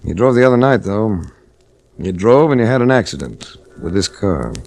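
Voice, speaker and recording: An actor playing a stern, coolly accusing investigator in a 1940s radio drama, with a deadpan, clipped delivery. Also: male voice, on the low side, normal speed, slight background noise. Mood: cool, probing. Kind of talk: 1940s radio drama acting, noir narration. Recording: restored vintage 1940s radio recording.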